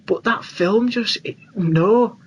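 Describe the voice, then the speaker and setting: A man with a fairly high voice co-hosts a casual podcast. He speaks informally with plenty of slang, over faint background noise. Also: slow, lo-fi sound. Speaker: podcast co-host. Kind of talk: casual film chat.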